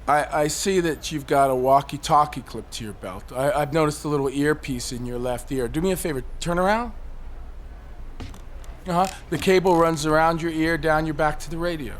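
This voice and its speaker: Male actor as suicidal cynic on a ledge, slightly high voice, sarcastic, articulate, audio drama, faint noise behind. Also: moderate pace, natural voice. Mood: probing, challenging. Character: cynical, suicidal man perched on a building ledge.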